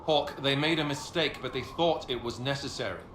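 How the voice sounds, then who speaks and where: An actor playing a grave, earnest warrior of a fantasy order in a video game. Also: male voice, mid-range voice, moderate pace, natural voice. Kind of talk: dramatic fantasy game dialogue. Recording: echoey, with clear background noise and ambience.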